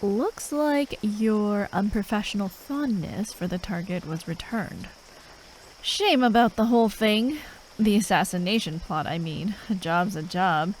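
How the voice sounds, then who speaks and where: Sardonic female fantasy assassin woman actor, gruff and unhurried, addressing the listener in ASMR roleplay, faint cricket ambience behind. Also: medium pitch, a little hiss or hum, lightly acted, low-quality recording. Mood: wry, reflective. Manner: sarcastic, drawling, sweary, with sighs.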